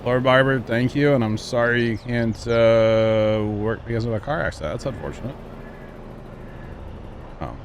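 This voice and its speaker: A man's voice: medium pitch, normal speed, pauses often, a little hiss or hum. A man in his mid-thirties from Missouri, chatting casually and teasing friends while streaming a farming game. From Missouri, USA. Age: 30s. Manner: joking, teasing, chatty with lots of fillers. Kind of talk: casual livestream chat while gaming.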